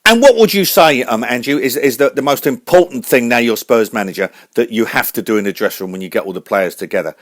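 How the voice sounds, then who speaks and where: A man voicing an excitable male radio host with a squeaky, shouty voice, in a comic radio spoof. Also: fast, few pauses, no room sound, clean studio sound, lightly acted. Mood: chatty. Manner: squeaky, shouty, gushing and giggly.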